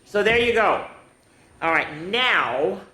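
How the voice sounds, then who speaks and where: A woman's voice: medium pitch, expressive, takes it slowly, pushed and bright. Older woman hosting children, echoey room. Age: senior. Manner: chatty, instructive, asks the children questions. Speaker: children's story time host. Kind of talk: children's storytelling and activity talk. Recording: echoey room with faint noise.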